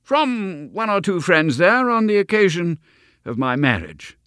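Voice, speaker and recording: A man voicing an eager, fussy young country doctor, speaking in an excitable, effusive way in an audiobook reading. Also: a little slow, smooth, no room sound, clean studio sound, exaggerated voice. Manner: excitable, effusive and high-strung.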